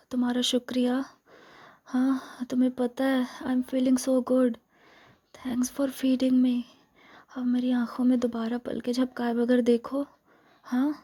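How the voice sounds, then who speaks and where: A woman acts out a possessive, scheming vampire girlfriend in a romantic ASMR role-play. Her Indian-accented voice is breathy and soft, often dropping into a whisper. She speaks slowly and keeps the melody very even, tenderly coaxing and lingering on repeated phrases. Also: high-pitched, exaggerated voice. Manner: intimate, coaxing, lingering repetitions.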